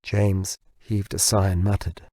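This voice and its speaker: A man's voice: deep voice, level tone, measured pace, dark tone, no room sound. A man reading scripted fiction as an audiobook narrator.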